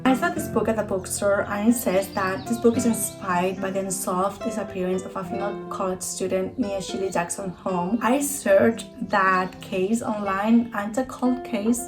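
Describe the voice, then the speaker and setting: A woman's voice: medium pitch, fairly even intonation, normal speed, hardly any pauses, over music. A woman from Spain with a Spanish accent, talking casually and eagerly as a book vlogger, often correcting herself. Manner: chatty, enthusiastic, self-correcting. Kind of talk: casual book review talk.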